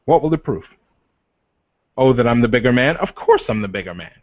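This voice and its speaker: A man's voice: medium pitch, sing-song, a little fast, no room sound, close to an everyday voice. A man playing a proud, protective werewolf leader in improvised roleplay, arguing insistently with repeated phrases and pointed questions. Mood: earnest, impassioned. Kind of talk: improvised in-character roleplay dialogue. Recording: dull, lo-fi voice recording.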